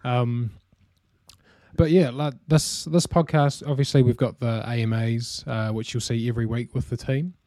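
A young adult man with a New Zealand accent, co-hosting a casual podcast chat in a relaxed way, filling pauses with "um" and laughing easily. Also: medium pitch, no room sound. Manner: relaxed, many "um" fillers, easy laughter. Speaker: podcast co-host.

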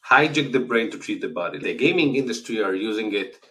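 A man's voice: lowish voice, expressive, fairly quick, sharp tone. A man in his forties from Israel with an Israeli accent, hosting a casual solo podcast in an earnest, explanatory way with frequent hesitations. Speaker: podcast host.

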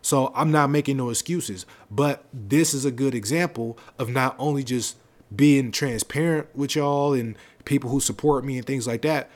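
Male podcast host, deep projected voice, casual slangy rambling delivery, close mic. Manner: loose, rambling, conversational with slang. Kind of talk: casual solo podcast talk. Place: home setup, seated on a leather seat. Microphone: close podcast microphone.

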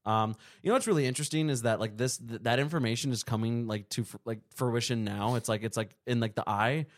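A man's voice: medium pitch, quick pace, no echo, clean studio sound. A man co-hosting a casual podcast, rambling and laughing between restarts. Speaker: podcast co-host. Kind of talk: casual chat.

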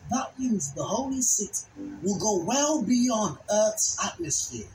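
Slow, solemn preacher in echoing sound. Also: mid-range voice, expressive, darkish tone. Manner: solemn, emphatic, deliberate phrasing. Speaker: Bible teacher and narrator. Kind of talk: dramatized scripture reading and sermon. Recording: echoey voice over background music.

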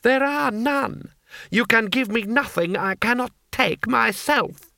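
A man voicing a cold, cruel, scheming male imperial lord in an audiobook, with a slow, haughty drawl. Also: very high-pitched, lively intonation, gravelly, sharp tone, no room sound, clean studio sound, voice pushed up, heavily acted. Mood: menacing. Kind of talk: audiobook character voice.

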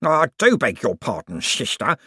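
A man's voice: mid-range voice, huge pitch swings, normal speed, sharp tone, breathy edge, no room sound, clean studio sound, heavily acted. A man voicing an oily elderly con man posing as a reverend, speaking in a pious, unctuous way.

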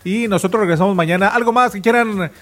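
A man's voice: high-pitched, fast talker, fairly bright tone. A man with a Mexican accent hosting a casual morning show chat. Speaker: radio show host.

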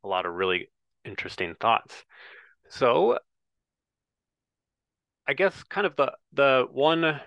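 A man's voice: mid-range voice, very animated, takes it slowly, creaky, long pauses, fairly bright tone, dry recording. A man hosting a podcast, asking long, rambling questions full of hedges and fillers. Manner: rambling, hedging, many fillers. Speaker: podcast host. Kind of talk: casual interview hosting.

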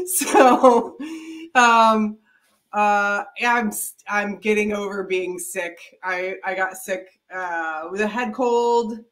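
A woman's voice: mid-range voice, fairly even intonation, takes it slowly, a bit bright. A woman co-hosting a running podcast, talking casually with many fillers and easy laughs, her voice thick from a head cold. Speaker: podcast co-host. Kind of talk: casual chat.

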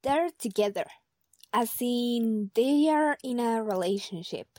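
An actress playing a composed, thoughtful advisor in an audio drama, measured and matter-of-fact. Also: female voice, a little high, animated, warm tone, dry recording. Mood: composed, advising.

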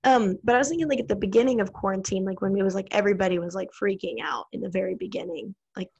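Chatty American woman with a gravelly voice. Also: mid-range voice, fairly even intonation, racing, darkish tone, dull audio. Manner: chatty, filler-heavy, laughs often. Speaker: interviewer. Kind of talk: casual chat.

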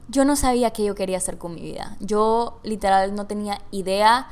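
A young woman hosts a relaxed personal podcast, talking through her thoughts in a mixed Venezuelan and Panamanian Spanish accent. Her voice is smooth and clear, pitched squarely in the middle. She talks in a chatty way, slipping English words into her sentences. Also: a little fast. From Venezuela, raised in Panama. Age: young adult. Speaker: podcast host. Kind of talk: casual personal podcast monologue.

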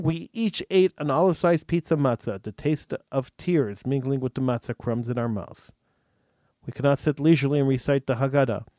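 A man, the host, reading a true story aloud in an earnest, measured way, with an occasional stumble over words. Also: a little high, darkish tone, dry recording, studio quality. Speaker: radio host.

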